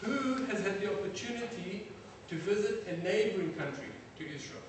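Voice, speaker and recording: A middle-aged man from South Africa giving a lecture to an audience, halting often with fillers and restarts. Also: high voice, animated. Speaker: defense attaché giving a lecture. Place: lecture hall. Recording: very echoey hall, from far off, faint noise.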